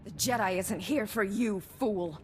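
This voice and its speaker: An actress playing a cold, scornful Sith spy in a video game, haughty and threatening. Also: female voice, mid-range voice, unhurried, a little hiss or hum, close to an everyday voice. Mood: cold threat. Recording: reverberant hall with music behind.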